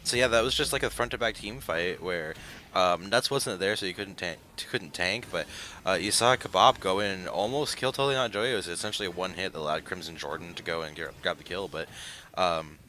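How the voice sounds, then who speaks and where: A man's voice: sits low, brisk, sharp tone, slight background noise. A man giving conversational analysis as colour commentator on a live video game match.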